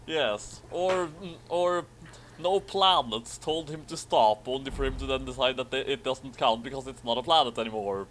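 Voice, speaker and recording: A man's voice: medium pitch, normal speed, fairly bright tone, slight background noise. A man co-hosting a casual commentary, reading a story aloud theatrically and adding dry, sarcastic asides.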